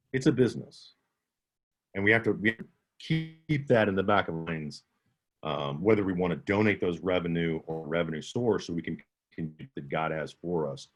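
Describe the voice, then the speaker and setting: A man with a slight Southern accent, an audiobook narrator answering questions in a conference Q&A over a video call, talking casually and often restarting his sentences. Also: lowish voice. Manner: conversational, with many restarts and self-corrections.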